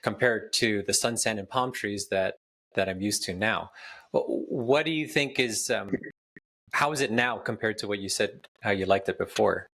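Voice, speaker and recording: A man's voice: lowish voice, normal speed, creaky, fairly bright tone. A man hosting a podcast interview, asking long, conversational questions. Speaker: podcast host.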